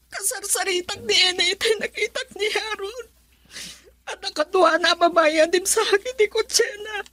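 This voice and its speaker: A woman acts out a heartbroken young daughter in a melodramatic radio play. Her voice is extremely high and piercingly bright. She delivers her lines in a tearful wail, full of hurt. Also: moderate pace. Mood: sobbing, hurt. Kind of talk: melodramatic radio drama acting.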